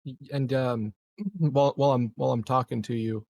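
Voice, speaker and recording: A man playing a tabletop game, chatting casually in a halting way with repeated words. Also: medium pitch, fairly even intonation, gravelly, a little mellow, dry recording. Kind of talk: casual chat.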